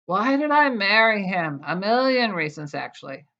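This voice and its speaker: An older woman with an American accent reading her own poetry aloud with expressive, deliberate phrasing. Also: mid-range voice. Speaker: poet. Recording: dull, lo-fi sound.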